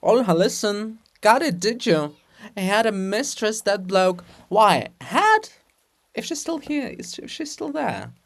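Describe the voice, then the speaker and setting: A man's voice: high voice, very animated, a little slow, creaky, a bit bright, pitched above the performer's own voice, exaggerated voice. A man voicing the monument of a sardonic, nervous prince in a theatrical farce, mocking and exclamatory. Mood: mocking, indignant. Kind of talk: theatrical dramatic reading of a farce.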